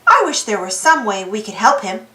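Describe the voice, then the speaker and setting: A woman's voice: mid-range voice, sing-song, moderate pace, bright, forceful tone, roomy sound. A woman voicing an eager, earnest and emotional little boy in a children's storybook. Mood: sympathetic. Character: plucky, emotional little boy Quincy, eager team leader.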